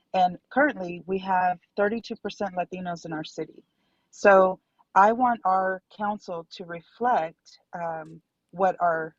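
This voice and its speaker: Earnest woman candidate calling in from her car, low-pitched, lo-fi dry line. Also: dry recording. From Dallas, Texas. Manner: earnest, many fillers. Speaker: city council candidate. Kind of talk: remote guest interview. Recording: dull, dry call audio.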